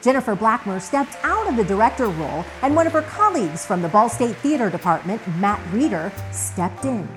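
A woman's voice: on the high side, big pitch swings, a little fast, few pauses, over music, low-quality recording. A woman reading scripted narration as the narrator of a radio retrospective.

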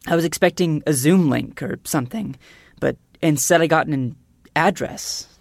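A woman with a lowish voice, recorded very dry. She is a podcast producer acting out a comic story, stammering and pressing her point haltingly. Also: creaky, darkish tone, no room sound. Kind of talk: scripted comic storytelling, acted as if real.

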